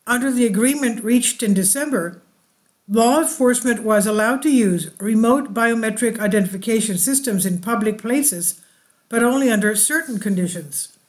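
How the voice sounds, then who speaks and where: A woman hosting her own channel, reading a translated news article aloud slowly and deliberately, with asides to her viewers. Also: fairly high pitch.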